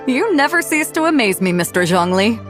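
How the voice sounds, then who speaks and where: A woman's voice: high-pitched, very animated, unhurried, music in the background. A woman voicing a confident, plain-spoken ship captain in a video game, casual and hearty. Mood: warmly impressed. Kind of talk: scripted video game dialogue.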